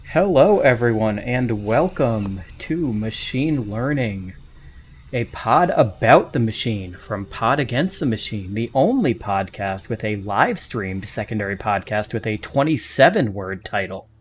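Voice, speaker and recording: A man's voice: fairly low pitch, lively intonation, measured pace, breathy edge. A man hosting a podcast in casual live chat, with a hesitant, jokey way of talking. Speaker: podcast host.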